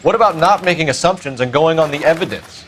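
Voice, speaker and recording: A man's voice: a little high, animated, quick pace, fairly bright tone, a little hiss or hum. A man with an American accent playing an upright, by-the-book 1940s police detective in a video game, speaking in a formal, earnest, clipped way. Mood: businesslike.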